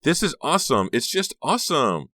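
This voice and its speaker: A man's voice: on the low side, big pitch swings, moderate pace, fairly bright tone, no echo, clean studio sound, pitched above the performer's own voice. A man voicing a gushing, clingy, overexcited teenage girl in an audiobook, with emphatic, repeated phrases. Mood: overexcited, amazed.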